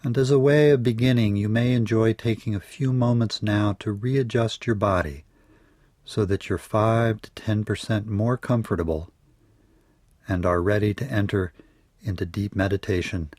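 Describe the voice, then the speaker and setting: A man leading a guided yoga nidra meditation in a measured, soothing way, letting his phrases trail off softly. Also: on the low side, fairly even intonation, no echo.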